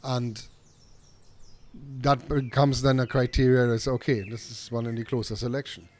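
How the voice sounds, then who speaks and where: A man with a middle-pitched voice hosts a casual chat. He speaks earnestly and with firm opinions. He often starts over in the middle of a phrase. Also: little pitch movement, normal speed, darkish tone, a little hiss or hum. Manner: earnest, opinionated, many restarts. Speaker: podcast host, dog trainer.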